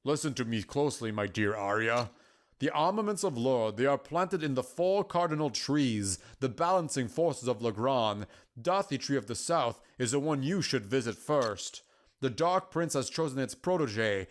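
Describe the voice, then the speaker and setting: A man performs a solemn keeper of prophecy for a fantasy story. He is patient and cryptic, choosing formal, old-fashioned words and setting them out in a measured way. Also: mid-range voice, animated, close to an everyday voice. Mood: solemn, urgent. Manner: measured, formal, archaic phrasing.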